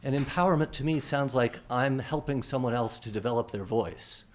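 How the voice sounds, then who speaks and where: A man with a voice of middling pitch asks a question from the audience. He picks his words with care, pausing often with small fillers, and stays thoughtful throughout. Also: a little hiss or hum. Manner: thoughtful, hesitant, many fillers. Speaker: audience member asking a question. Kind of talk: question from the floor. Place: conference hall.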